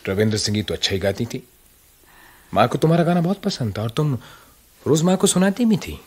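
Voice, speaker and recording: Worn out writer husband, middle aged, wry radio drama voice, husky and expressive. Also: male voice, medium pitch, brisk. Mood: questioning, reflective. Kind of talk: radio play acting.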